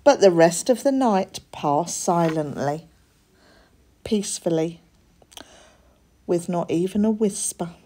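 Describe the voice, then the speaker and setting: A woman reading a children's story aloud in an expressive narrating style. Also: fairly low pitch, takes it slowly, pauses often. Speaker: storybook reader.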